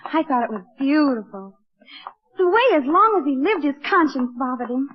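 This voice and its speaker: Gushing, earnest teen girl, extremely high lively voice, vintage radio comedy, dull old recording. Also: moderate pace, slight background noise. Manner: gushing, inquisitive. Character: earnest, admiring teenage girl on a date. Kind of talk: 1940s radio comedy acting.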